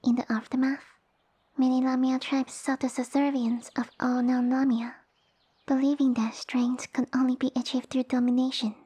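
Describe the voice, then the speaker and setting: Woman playing a haughty little high-voiced lamia noblewoman. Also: level tone, moderate pace, mellow, slightly rough. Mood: proud, solemn. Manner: pompous, formal, commanding speech. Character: haughty, sassy young lamia noblewoman, tiny monster girl. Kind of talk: ASMR roleplay audio drama.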